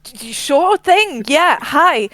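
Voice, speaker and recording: A woman co-host chatting casually and playfully, in a halting way, on an online call. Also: high-pitched, expressive, a bit bright. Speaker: co-host, audio drama writer and director. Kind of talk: casual chat.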